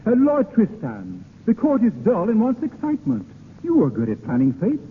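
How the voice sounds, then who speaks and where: A tinny old radio recording with light noise carries a bold, swaggering male adventurer. His fairly high voice leaps dramatically up and down in grand, declamatory 1930s radio-play style. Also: huge pitch swings, moderate pace. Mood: playful stalling. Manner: declamatory, theatrical. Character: bold, swaggering poet-rogue made marshal for a day. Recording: noisy, narrow-band 1930s radio broadcast.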